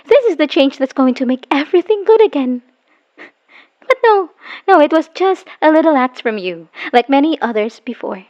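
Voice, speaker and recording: A woman acting a wounded, bitter woman leaving her abusive partner, in an emotional, halting dramatic audio roleplay monologue. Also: sits high, animated, a little mellow. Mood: anguished, scornful. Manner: emotional, halting, sighing, dropping into whispers. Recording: dull lo-fi voice recording.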